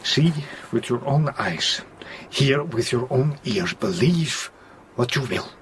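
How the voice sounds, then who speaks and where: An actor playing a grave elderly foreign professor in a gothic audio drama, deliberate and halting, repeating words for weight. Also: male voice, mid-range voice, animated, slight background noise. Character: grave elderly foreign professor, vampire hunter, patient but relentless. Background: dramatic music.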